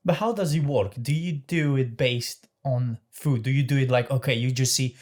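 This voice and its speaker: A man's voice: medium pitch, normal speed, a little mellow. A man hosting a hospitality podcast, asking long rambling questions with restarts. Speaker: podcast host. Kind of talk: casual interview questions.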